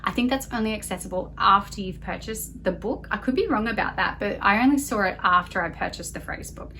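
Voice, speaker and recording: A woman in her thirties talks casually to camera at home, with a mid-range voice. Her delivery is conversational, full of softeners, like a parent sharing a review. There is faint room noise behind her. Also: medium pitch, brisk. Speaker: homeschooling parent reviewing a curriculum. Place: small home office, indoors. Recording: clear background room noise.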